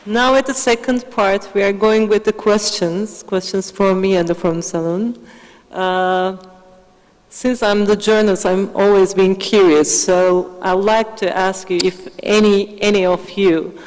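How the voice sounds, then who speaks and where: A woman's voice: mid-range voice, fairly even intonation, a little slow, darkish tone. A woman journalist moderating a panel, speaking briefly and directly. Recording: long reverb of a conference hall.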